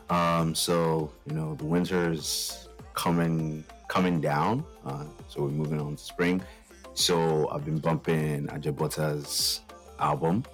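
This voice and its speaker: A man co-hosting a podcast, talking in a relaxed, unhurried way. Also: very deep voice. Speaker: guest co-host. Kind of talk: casual podcast chat.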